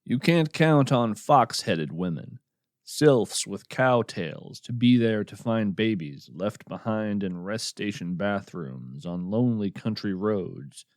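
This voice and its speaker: A man playing a wry, musing naturalist who hosts a radio show of the uncanny, narrating in a measured, contemplative, folksy way. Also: on the low side, expressive, dry recording, clean studio sound. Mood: solemn. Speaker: podcast host and narrator. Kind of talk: scripted narration, reflective storytelling with poetry reading.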